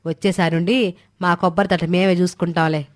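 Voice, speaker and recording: A woman's voice: on the low side, fairly even intonation, brisk, natural voice. A woman playing an older, cautious village mother-in-law in a storybook drama, with homely colloquial speech. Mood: doubtful, firm. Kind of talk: dramatized Telugu moral story dialogue.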